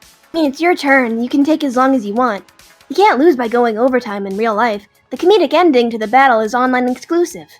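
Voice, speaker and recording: A woman's voice: sits high, animated, brisk, soft background music. A woman playing a cheerful, unbothered, nerdy dragon in an audio drama, chatty and matter-of-fact. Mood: breezy.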